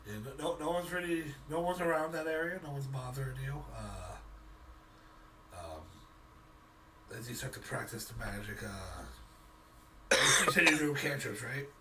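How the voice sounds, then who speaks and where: A man acting as game master, improvising tabletop narration in a halting, casual way. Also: mid-range voice. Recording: distant mic with faint noise.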